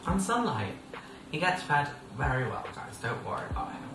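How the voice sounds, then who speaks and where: A man's voice: on the high side, sing-song, moderate pace. A sixteen-year-old man hosting a casual podcast, playful and rambling, laughing often as he talks. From England. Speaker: podcast host. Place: indoors at a table. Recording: roomy sound from a distance with background noise.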